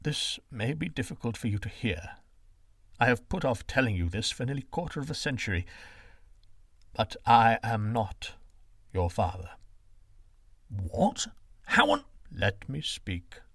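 A gentle older shepherd father, voiced by a male storyteller, speaks softly in a lowered, measured tone. His pitch still moves expressively, and the recording is dry and close. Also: lively intonation, dry recording. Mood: grave.

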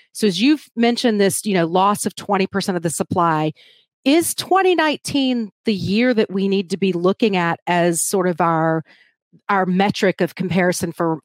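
Animated woman host, dry close sound. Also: mid-range voice, no room sound. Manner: animated, chatty, laughs easily. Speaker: live stream host. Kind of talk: enthusiastic interview hosting.

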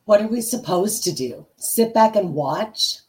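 Coy shapeshifter villainess, played by a woman, medium pitch, teasing and sly, for radio theatre. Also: lively intonation, a little slow, a little mellow, close to an everyday voice. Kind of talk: radio theatre live read.

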